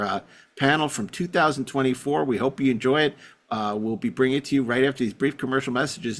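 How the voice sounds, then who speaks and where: A man hosting unscripted, introducing things effusively and enthusiastically, with a halting, filler-heavy delivery. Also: medium pitch, fairly bright tone. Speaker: podcast and convention panel host. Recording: echoey hall with long reverb.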